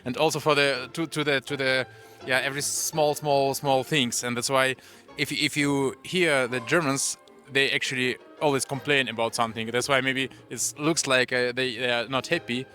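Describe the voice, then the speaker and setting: A man's voice: mid-range voice, fairly even intonation, normal speed, few pauses, bright, forceful tone, soft background music. A man answering a street interview, stumbling and repeating words as he goes.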